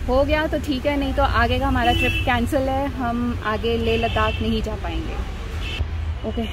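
A woman travel vlogger chatting candidly and teasingly on a road trip, mixing Hindi and English. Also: fairly high pitch. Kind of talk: casual vlog chat mixing Hindi and English. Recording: noisy outdoor ambience.

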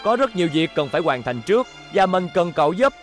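A man's voice: sits high, very animated, fast talker, faint music behind. A man voicing a vengeful, scheming boy robot villain in a cartoon dub, smug and gloating. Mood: persuasive. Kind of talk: cartoon dubbing.

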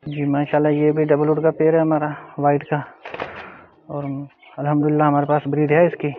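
A man's voice: a little high, monotone, fairly quick, slight background noise. A middle-aged man with a Pakistani accent, a farmer informally showing his stock with repeated stock phrases. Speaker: pigeon farmer. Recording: phone-like thin audio, faint music. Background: faint music, cooing pigeons.

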